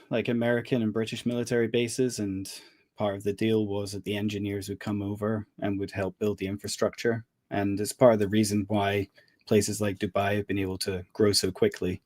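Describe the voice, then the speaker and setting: Guest in his forties, grew up in the middle east, low voice, dry sound, laid back and a bit wry. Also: a little mellow, no echo. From Middle East, Scottish parents. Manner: easygoing, dry humor. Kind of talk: casual interview chat.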